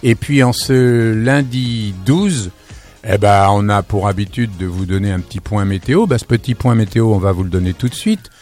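A man's voice: fairly low pitch, moderate pace, a little mellow, faint music behind. A man hosting a radio show from a studio, chatty and exclamatory, wandering into asides as he presents and interviews his guests. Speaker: radio host. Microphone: studio broadcast microphone.

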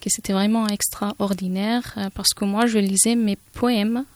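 A woman from Afghanistan with an Afghan accent, her first language Persian, speaking as a guest in a radio studio interview and pausing often to find her words. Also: medium pitch, fairly even intonation, a little hiss or hum.

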